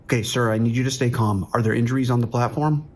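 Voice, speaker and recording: A man acts as a police dispatcher in an audio drama, with a mid-pitched voice. He is harried but commanding, keeping to short, urgent instructions and procedural phrasing. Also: steady tone, quick pace, natural voice. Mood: controlled, firm.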